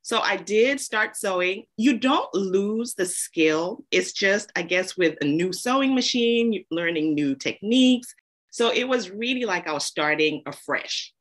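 A woman's voice: medium pitch, lively intonation, unhurried, a bit bright. A woman from Zambia, a podcast guest, telling her story casually and laughing often.

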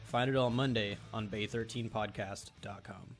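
A man's voice: on the low side, steady tone, normal speed. Male podcast host promo over guitar music. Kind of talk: promo read.

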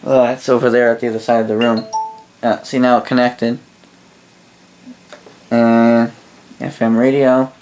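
A man reviews a product off the cuff at a middling pitch that barely moves. His delivery is rambling and halting, with sentences restarted and corrected as he goes. It sounds like a casual home video recorded indoors. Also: little pitch movement, a little mellow, a little hiss or hum, poor audio. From Canada. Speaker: host of a radio gear review channel. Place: indoor room full of radio gear.

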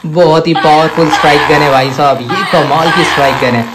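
A man with an Indian accent presenting products to viewers in an excitable, gushing way, piling up superlatives. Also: a little high, a little fast, heavy background noise. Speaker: toy reviewer and presenter. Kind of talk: enthusiastic product showcase to viewers.

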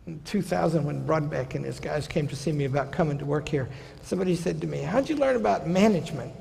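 Gravelly older man lecturing at a podium. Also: on the high side, a little mellow. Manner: conversational, wry asides, slows down for quoted passages. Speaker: college president giving a lecture. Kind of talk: seminar lecture. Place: large lecture hall stage at a podium. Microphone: podium microphone. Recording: hall reverb.

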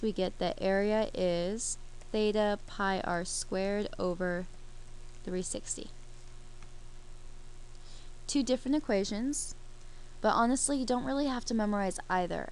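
A woman's voice: mid-range voice, fairly even intonation, normal speed, darkish tone, a little hiss or hum, low-quality recording. A woman teaching a math lesson over a screen recording, explaining each step while she works the problem.

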